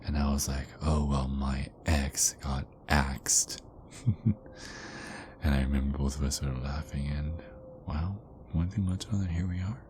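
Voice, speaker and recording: A man playing a big, affectionate werewolf boyfriend in an intimate ASMR roleplay, murmuring hesitantly and often slipping into breathy whispers. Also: very deep voice, fairly even intonation, measured pace. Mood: soft, nostalgic. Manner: hesitant, rambling, murmured pillow talk. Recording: clearly noisy background ambience.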